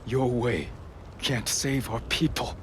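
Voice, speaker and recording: A man's voice: medium pitch, takes it slowly, close to an everyday voice. A man playing a resolute young samurai outcast in a video game cutscene, speaking in solemn, terse, emphatic phrases. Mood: defiant. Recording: clear background ambience noise.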